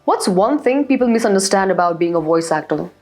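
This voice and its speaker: Woman host reading brisk questions. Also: mid-range voice, lively intonation, darkish tone, faint music behind. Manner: brisk, scripted prompts. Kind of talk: interview questions.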